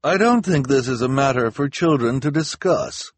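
A man's voice: medium pitch, animated, moderate pace, dry recording, pitched above the performer's own voice, close to an everyday voice. A man voicing a bossy, pompous policewoman in a storybook, with a grand, declamatory manner. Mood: grand, official.

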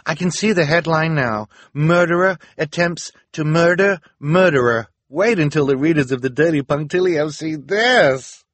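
A man's voice: medium pitch, very animated, normal speed, few pauses, no echo, voice pushed up. A man voicing a self-important, sensation-hungry female newspaper reporter in a storybook reading, eager and pompous. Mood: excited.

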